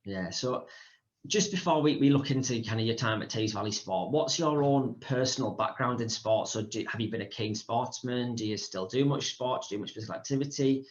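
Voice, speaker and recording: A man hosting a podcast and interviewing a guest, brisk and often repeating words. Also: medium pitch, muffled recording. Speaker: podcast host. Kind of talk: casual interview chat.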